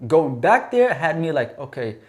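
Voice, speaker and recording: A Somali-born man around thirty, co-hosting a casual podcast chat, animated and full of restarts. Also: fairly high pitch, moderate pace. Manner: animated, frequent restarts and "you know what I'm saying". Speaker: podcast co-host. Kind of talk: casual conversation.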